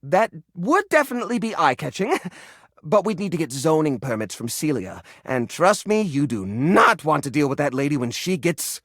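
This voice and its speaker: An actor playing a fussy, sentimental hoarder in a video game, easily flustered, talking in an earnest, rambling, expressive way. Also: male voice, a little high, normal speed, dry recording, studio quality. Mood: hesitant. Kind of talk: video game character dialogue.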